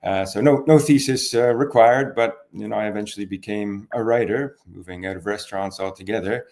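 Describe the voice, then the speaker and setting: A Canadian man speaks in a fairly low voice. He is affable and conversational, like a guest in an interview. He tells things as relaxed stories, at an easy, sociable register. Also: fairly quick. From Toronto, Canada. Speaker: interview guest, wine expert.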